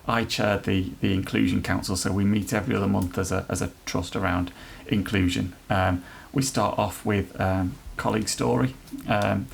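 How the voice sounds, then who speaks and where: Gravelly low-voiced man, halting and earnest. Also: fairly even intonation, a little hiss or hum. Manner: earnest, halting, many restarts. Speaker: NHS trust leader chairing an inclusion council. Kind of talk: podcast interview answers.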